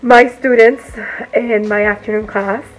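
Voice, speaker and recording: A woman's voice: on the high side, fairly even intonation, a little slow, muffled recording. Woman teacher vlogger, losing her voice; casual venting to a phone-selfie in a classroom. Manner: upset, venting. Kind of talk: casual classroom vlog recap. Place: classroom, indoors. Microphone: phone at arm's length.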